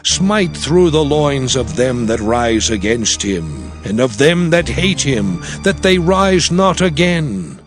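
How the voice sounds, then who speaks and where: An actor playing Moses as a solemn old prophet, declaiming scripture slowly and deliberately in a dramatized reading. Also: male voice, medium pitch, sing-song, soft background music. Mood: solemn pleading. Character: Moses, solemn old male prophet blessing and exhorting his people.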